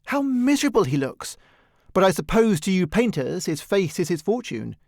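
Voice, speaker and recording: A man reading a storybook plays a good-natured, naive young gentleman with a light, earnest manner. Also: high voice, sing-song, normal speed, no echo, studio quality. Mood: pitying, amazed.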